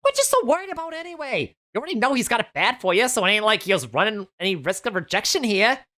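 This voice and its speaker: Woman playing a scheming eccentric stylist, high sing-song New York drawl, brassy, storybook reading. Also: very animated, quick pace, fairly bright tone. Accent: put-on New York.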